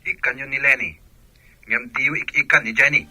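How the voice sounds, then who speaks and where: An actor with a Filipino accent playing a brusque grown son phoning his mother in a radio drama, raspy through a telephone filter. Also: medium pitch, fairly even intonation, brisk, no room sound. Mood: brusque, instructing. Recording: sharp dry phone line, music behind.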